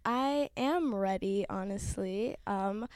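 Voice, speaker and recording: A woman's voice: mid-range voice, takes it slowly, a little mellow, clear, resonant tone, dry recording, studio quality. A nineteen-year-old woman from Haiti, a podcast guest chatting casually, giggling and saying "like" often. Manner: giggly, chatty, lots of filler likes.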